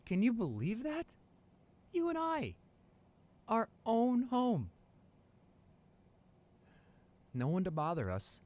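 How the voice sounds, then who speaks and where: A man playing an excited young college boyfriend in an improvised ASMR roleplay, gushing and halting, repeating himself in sentimental amazement. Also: sits high, full character voice. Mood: amazed.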